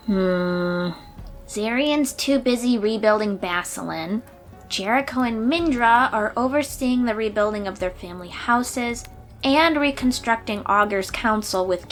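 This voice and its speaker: A woman's voice: on the high side, a bit bright. A woman playing a confident, eager young sea-dweller warrior in a fantasy audio roleplay, speaking expressively and informally to the listener. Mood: thoughtful, serious. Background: music.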